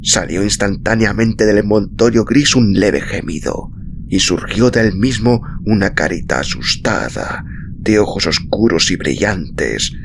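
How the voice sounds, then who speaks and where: A man with a deep, gravelly voice reading literary narration slowly and in a measured way, with dramatic pauses. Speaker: audiobook narrator. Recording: clearly noisy recording.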